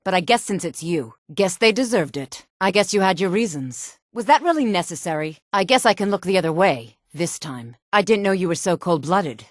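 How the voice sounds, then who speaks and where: A woman's voice: on the low side, lively intonation, normal speed, few pauses, dry recording, studio quality, close to an everyday voice. An actress voicing a sultry, haughty fantasy townswoman for a video game, flirtatious and cutting in a theatrical drawl. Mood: knowing, teasing. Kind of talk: video game voice lines, fantasy dialogue and combat barks.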